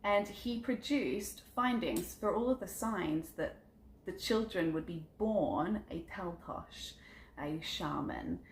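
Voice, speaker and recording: Low-voiced woman chatting in a roomy space. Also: takes it slowly, a little hiss or hum. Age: about 30. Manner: chatty and earnest, frequent ums, lively shifts in pace. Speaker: psychic content creator. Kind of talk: personal introduction vlog, storytelling about family and spirituality. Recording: roomy echo with faint background ambience.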